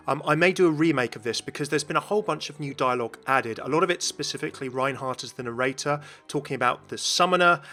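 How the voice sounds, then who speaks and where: Fast, bright-voiced male gaming commentator. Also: mid-range voice. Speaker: gaming channel host. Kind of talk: casual enthusiastic video commentary.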